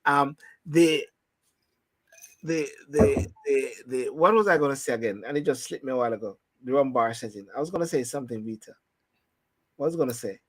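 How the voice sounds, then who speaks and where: A man's voice: medium pitch, fairly quick, dry recording. A man hosting a casual rum-bar style talk show, rambling and repeating himself as he loses his thread.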